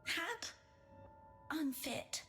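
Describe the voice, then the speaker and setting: An eerie childlike doll in a video game. The voice is extremely high and very slow, in clipped, halting phrases, with faint music behind it. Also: female voice, lively intonation, pushed and bright, exaggerated voice. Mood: eerie, pleading. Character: eerie lifeless stage doll, childlike and pleading.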